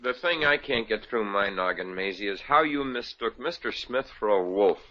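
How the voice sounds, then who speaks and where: An actor as a gloomy luckless boyfriend. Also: male voice, on the low side, animated, moderate pace, lightly acted. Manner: self-pitying, wry. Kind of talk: 1950s radio acting. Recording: dull old radio recording.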